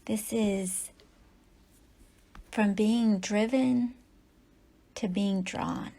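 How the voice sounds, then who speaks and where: A woman meditation teacher leading a guided yoga nidra practice in soft, breathy, unhurried phrases with long pauses. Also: mid-range voice, fairly even intonation.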